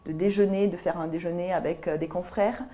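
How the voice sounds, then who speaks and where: A middle-aged woman with a southern French accent and a soft, mellow, slightly low voice. She gives considered interview answers, pausing mid-phrase as she looks for the right word. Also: steady tone. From south of France (Albi). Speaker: guest, business lawyer. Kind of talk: interview answers about career.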